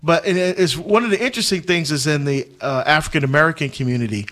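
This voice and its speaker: Animated Barbados-born deacon, higher voice. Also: male voice, fast. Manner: animated storyteller, many fillers and restarts, frequent laughs. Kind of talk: casual conversational chat.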